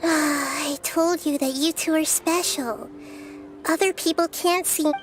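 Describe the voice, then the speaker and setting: A gentle, childlike goddess of wisdom in a high, soft voice. She talks slowly and patiently. Game music plays strongly behind her. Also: fairly even intonation.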